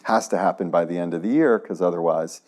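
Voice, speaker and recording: A man on a university panel, explaining politics in a deliberate, measured way and hedging his predictions. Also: low-pitched, a little mellow. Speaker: law professor, panelist. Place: lecture hall with audience.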